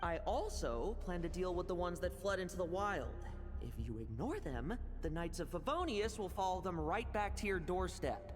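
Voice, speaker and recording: Smooth, teasing young schemer. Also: male voice, sits high, very animated, normal speed, long reverb tail, music in the background. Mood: mocking. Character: sly, calm young man scheming for power, mockingly helpful. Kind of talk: video game voice acting.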